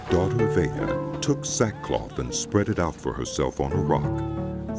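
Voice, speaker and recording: A man narrating dramatized scripture in a solemn, storytelling manner. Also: a bass voice. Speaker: Bible narrator. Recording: music behind the voice. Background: background music.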